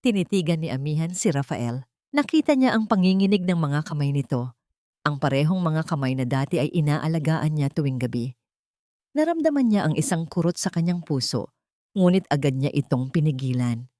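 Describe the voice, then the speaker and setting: Woman doing dramatic audiobook narration, slightly low voice, dry close recording. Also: animated, moderate pace, dark tone, no room sound. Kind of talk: dramatic scripted story narration.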